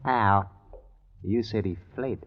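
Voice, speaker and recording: An actor playing a gruff, slow-witted working-class husband in jail, in a 1950s radio drama with a thin old sound. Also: male voice, lowish voice, dull audio. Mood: gruff, pondering.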